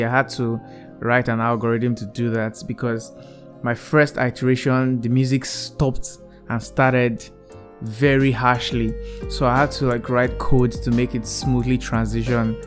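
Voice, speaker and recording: A young man hosting his own channel, giving a casual, enthusiastic walkthrough of a VR art gallery he built, recorded on earbuds indoors. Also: mid-range voice. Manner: relaxed, enthusiastic, repeats "really cool". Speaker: YouTube creator. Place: indoor room, wearing VR headset. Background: soft background music.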